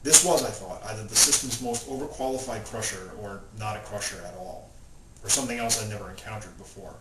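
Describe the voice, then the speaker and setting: A man's voice: fairly low pitch, fairly quick. A male author talking informally and reading his novel aloud in his own plain voice, without putting on character voices. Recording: roomy, distant mic, loud background noise.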